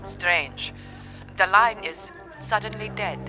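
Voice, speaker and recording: A woman's voice: medium pitch, slow, pushed and bright, faint music behind, poor audio. A woman in a radio drama playing a polite, puzzled telephone operator.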